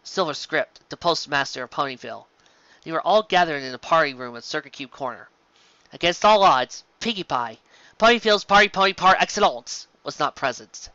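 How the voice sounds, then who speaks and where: A narrator reading a scripted story aloud in an animated storytelling style, on a slightly dull home recording. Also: medium pitch, fairly quick, no echo.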